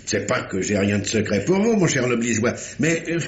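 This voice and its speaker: Man acting fussy commanding magistrate uncle, old french radio mystery, very animated voice, roomy sound. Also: medium pitch, moderate pace, a little hiss or hum, lightly acted. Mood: brisk, courteous. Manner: theatrical, hesitant repetitions, commanding. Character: fussy, authoritative examining magistrate, an uncle questioning his nephew.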